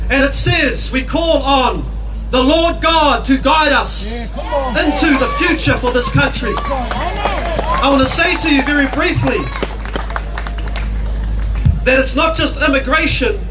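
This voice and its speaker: A man with a New Zealand accent preaches to an outdoor rally, pushing his high voice to a shout. He is picked up from a distance. Crowd chatter and street noise fill the background. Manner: preaching, forceful, often shouting. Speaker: pastor giving a rally speech.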